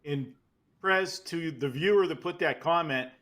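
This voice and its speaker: A man with a Pittsburgh accent lays out his betting case in a confident, matter-of-fact way. His voice is bright and forceful and sits a bit high for a man. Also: a little slow. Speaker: sports handicapper guest. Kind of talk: casual betting analysis.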